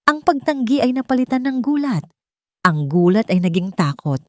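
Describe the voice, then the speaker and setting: A woman storyteller reading a scripted romantic drama in a solemn, emotive and deliberate manner. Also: a little high, big pitch swings, no echo, clean studio sound.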